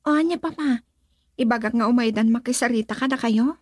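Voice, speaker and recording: A woman's voice: a little high, fairly quick, a little mellow, natural voice. A young woman with a Filipino accent acting a hesitant, earnest daughter who is considering marriage in a radio drama.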